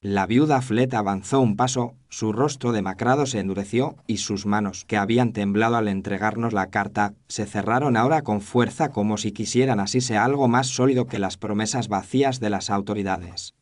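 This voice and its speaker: A man's voice: fairly low pitch, normal speed, hardly any pauses, close to an everyday voice. A man playing an earnest chronicler, narrating a Victorian mystery in a literary style. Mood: grave, reflective.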